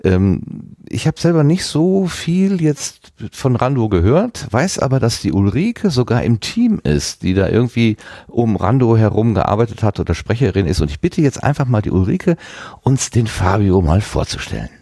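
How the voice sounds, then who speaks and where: A man's voice: fairly low pitch, very animated, moderate pace, gravelly, dark tone, no room sound, clean studio sound. A man hosting a podcast, loosely introducing a segment and handing over to a co-host. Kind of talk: casual introduction.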